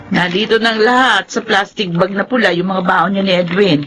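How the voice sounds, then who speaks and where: A woman's voice: mid-range voice, fairly even intonation, normal speed, over loud music, natural voice. A woman playing a practical, burdened middle-aged mother in a Filipino radio drama, with a Filipino accent. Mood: brisk, practical.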